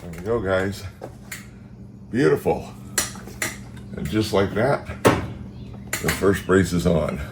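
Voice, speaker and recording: Slow, low-voiced stuffy man in a garage. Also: very noisy. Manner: relaxed, chatty, thinking aloud with fillers. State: stuffy nose from allergies. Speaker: car channel host. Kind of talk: casual how-to garage vlog. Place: home garage beside a car.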